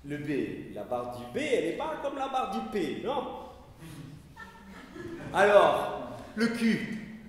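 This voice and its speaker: Male sound-poetry performer, high and very animated pitch, live festival stage, deep hall echo. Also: slight background noise. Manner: slow, measured recitation with wide swings in pitch and loudness.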